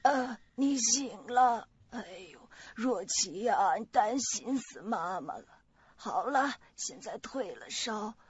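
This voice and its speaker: Actress as a slow, fretful older mother. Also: on the high side, animated, slightly rough, heavily acted. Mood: fretful, relieved. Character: fretful, superstitious older mother, hard on her daughter-in-law. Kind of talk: radio drama acting. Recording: dull lo-fi audio with music behind.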